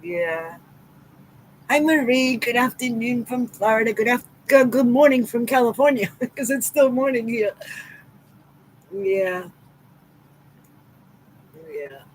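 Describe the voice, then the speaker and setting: A woman's voice: on the high side, lively intonation, slow, pauses often, a little hiss or hum, low-quality recording. A middle-aged woman from Massachusetts with a local accent, chatting casually and affectionately with viewers on a livestream and greeting them by name. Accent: Southeastern Massachusetts. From Massachusetts, USA. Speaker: livestream host.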